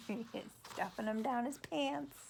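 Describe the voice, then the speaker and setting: A woman's voice: on the high side, takes it slowly, creaky, background noise. A woman reading a picture book aloud to children, stopping to chat and ask them questions. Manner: warm and chatty, asks children questions. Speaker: storytime reader.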